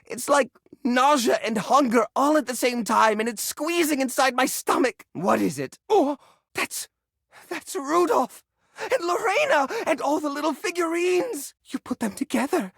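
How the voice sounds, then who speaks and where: An actor voicing a fussy, anxious junk drawer come to life in a video game, theatrical and flustered, with old-fashioned folksy exclamations. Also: male voice, extremely high pitch, animated, measured pace, vocal fry, a bit bright, no room sound, clean studio sound, heavily acted. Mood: hurt, sulky, then touched, delighted. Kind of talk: video game character voice acting.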